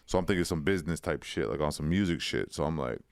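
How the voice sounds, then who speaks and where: A man's voice: rumbling low, fast talker, gravelly, smooth, dry recording, clean studio sound. A man co-hosting a podcast, telling a long story in slangy casual chat and acting out the quotes. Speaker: podcast co-host.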